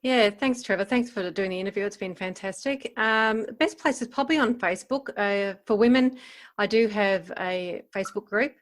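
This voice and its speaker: A woman's voice: mid-range voice, moderate pace. A woman with an Australian accent talking casually and encouragingly, in plain words, as a podcast host in an interview. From Gold Coast, Queensland, Australia.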